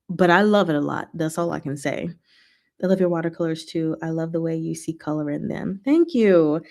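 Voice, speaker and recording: A woman hosting a casual, rambling livestream chat with viewers, talking in an expressive, playful way. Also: lowish voice. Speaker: livestream host answering chat.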